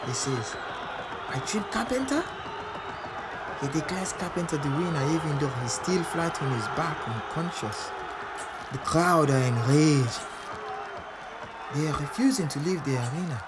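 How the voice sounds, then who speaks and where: A man's voice: mid-range voice, slight background noise, natural voice. An actor playing a defiant, hot-headed male prizefighter telling his story bluntly in an audio drama monologue.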